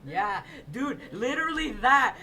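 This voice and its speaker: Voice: medium pitch, animated, unhurried, sharp tone. A teenage podcast guest talking casually and animatedly, using slang like "dude" and "literally.". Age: teens. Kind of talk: casual discussion. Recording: noisy background.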